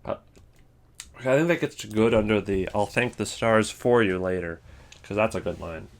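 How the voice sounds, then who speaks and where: Casual low-voiced man ranking things. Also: moderate pace, a little hiss or hum. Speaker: ranking video host. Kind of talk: casual, off-the-cuff commentary.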